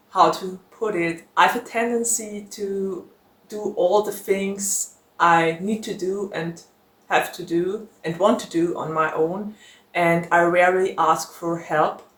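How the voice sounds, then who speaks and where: A woman's voice: lowish voice, a bit bright. A woman whose first language is German, chatting casually to her viewers in a Q&A vlog, frank and laughing often. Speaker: book YouTuber. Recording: echoey room.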